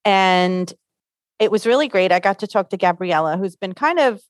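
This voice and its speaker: An easygoing woman librarian co-hosts a podcast in friendly, chatty talk. Her voice sits at an ordinary middle pitch. She is recorded close on a studio mic with a dry sound. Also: expressive, normal speed, no echo. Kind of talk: casual chat. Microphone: studio microphone.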